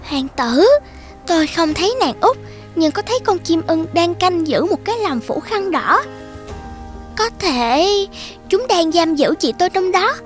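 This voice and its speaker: A performer voicing a tiny, excitable helper creature in a children's fairy-tale cartoon. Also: extremely high pitch, a little slow, soft background music. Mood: worried.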